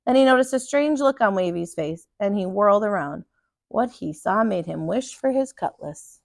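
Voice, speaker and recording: A woman reading a storybook aloud for children in an animated, dramatic teacher's style. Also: medium pitch, normal speed, vocal fry, no room sound, studio quality.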